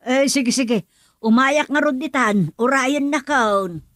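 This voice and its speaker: A woman's voice: high voice, slow pace, loud music behind the voice. A woman acting a flustered, suspicious village mother in a rural comedy radio drama, exclamatory and scolding. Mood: flustered, loud.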